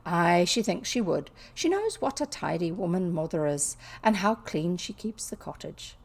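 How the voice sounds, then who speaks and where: A woman's voice: fairly low pitch, very animated, moderate pace, creaky, breathy edge, full character voice. A woman voicing a blunt, flustered young housemaid in a lilting rustic dialect for a storybook. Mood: reassuring.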